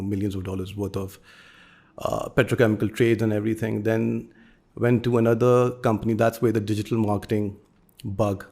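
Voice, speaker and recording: A Pakistani man in his thirties talks about his company in a measured, businesslike way. His voice is lowish and stays level, with little rise or fall. He pauses and restarts now and then as he explains. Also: dark tone. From Lahore, Pakistan. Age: 30s. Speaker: company co-founder, guest. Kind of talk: interview answers.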